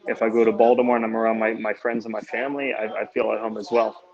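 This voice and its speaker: Young man, flat easygoing speech. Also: lowish voice, fast, highs cut off. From United States. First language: Greek. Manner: easygoing, hesitant with many restarts. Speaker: guest, amateur runner and goalkeeper. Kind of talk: casual interview answers.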